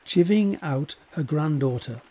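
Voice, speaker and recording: A man's voice: fairly high pitch, animated, a little slow, dark tone. A man reading scripted stage directions as the narrator of an audio drama.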